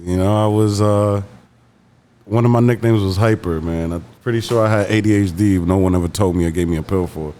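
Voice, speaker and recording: Laid-back young rapper from Boston, low voice. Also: male voice, fairly quick, warm tone, slight background noise. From Massachusetts, raised in Boston. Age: young adult. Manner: laid-back slangy storytelling, playful asides. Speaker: rapper guest. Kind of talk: casual interview storytelling.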